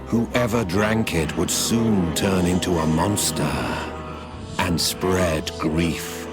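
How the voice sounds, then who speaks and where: A grim dark-fantasy narrator. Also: male voice, deep voice, steady tone. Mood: somber. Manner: measured, somber storytelling. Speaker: game narrator. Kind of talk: scripted story narration. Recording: narration over loud game music.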